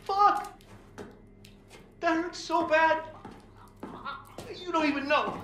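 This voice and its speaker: An actor playing a fast-talking, profane and slangy rebel who poses as a lawyer in a video game cutscene. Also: male voice, very high voice, noisy background. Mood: pained, urgent. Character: fast-talking sweary rebel posing as a lawyer, wild and wired. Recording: roomy echo.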